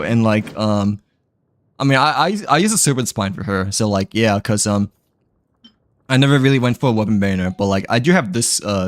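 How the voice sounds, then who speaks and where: A man's voice: mid-range voice, no room sound. A man livestreaming a game, chatting in a rambling way full of fillers and giggles, his throat a little dry and tired. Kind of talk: casual game stream chat.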